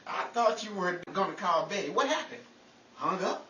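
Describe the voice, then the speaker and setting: A man's voice: high-pitched, expressive, normal speed. A man playing a skeptical, wisecracking bachelor friend with sardonic, incredulous quips, in a 1940s radio comedy style. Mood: needling. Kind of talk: 1940s old-time radio comedy acting. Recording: echoey, distant, noisy crowded recording.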